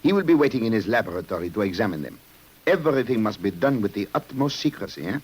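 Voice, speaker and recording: An actor playing a grave, commanding French police commissioner in a 1950s radio crime drama. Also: male voice, on the low side, big pitch swings, fast talker, a little mellow, slightly rough. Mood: urgent, commanding. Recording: old-time radio broadcast.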